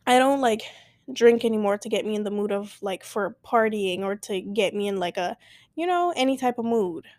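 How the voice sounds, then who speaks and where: A woman's voice: medium pitch, lively intonation, normal speed, darkish tone, dry recording. A woman in her twenties hosting a casual solo podcast, rambling with many fillers and self-interruptions. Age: 20s. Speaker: podcast host. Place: at home, late at night.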